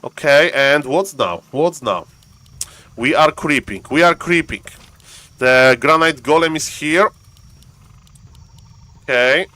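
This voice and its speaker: A man from Poland with a Polish accent, casting a live strategy game in chatty, excitable English and answering his chat. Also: on the high side, takes it slowly, pauses often. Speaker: game caster.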